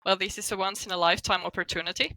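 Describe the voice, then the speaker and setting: A woman's voice: medium pitch, steady tone, rapid-fire, sharp tone. A woman from Finland with a Finnish accent, talking informally as an interview guest and often saying "like". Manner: informal, peppered with "like" as a hedge. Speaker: guest, psychotherapist and coach. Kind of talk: casual interview talk.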